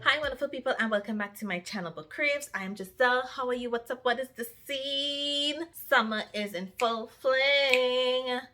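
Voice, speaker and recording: A young woman with a Trinidadian accent, chatting casually to her viewers as a book vlogger, lively and talking straight to them. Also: sits high, normal speed, faint music behind. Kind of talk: casual chat to camera.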